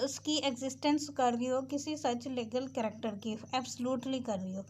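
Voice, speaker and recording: A woman's voice: on the high side, a little mellow, a little hiss or hum. A woman with a Pakistani accent giving an explanatory lecture as a law tutor, reading formal text aloud and explaining it.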